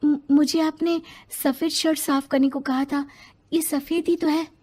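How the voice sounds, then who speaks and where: Lady doing a sweet meek young wife, high voice, super polite, keeps the peace, story drama. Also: little pitch movement, measured pace. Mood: timid. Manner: soft-spoken, polite, conciliatory.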